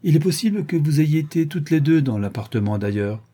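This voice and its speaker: Stern middle-aged woman interrogator, read by a man, husky and mellow, coldly accusing. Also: on the high side, animated, fairly quick, pitched above the performer's own voice. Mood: probing. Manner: cold, probing, relentlessly accusing.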